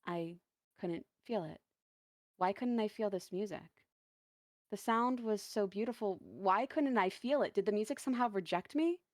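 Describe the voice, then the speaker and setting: A woman reading a first-person dramatic story as a lonely, self-doubting dancer lost in a forest, earnest and halting. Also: medium pitch, dry recording, studio quality, natural voice. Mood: anxious, striving.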